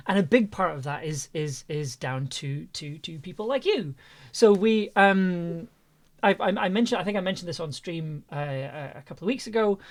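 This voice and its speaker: A man hosting a stream, casually addressing viewers in a halting way, repeating words as he finds his phrasing. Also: fairly high pitch. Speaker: host and audio producer.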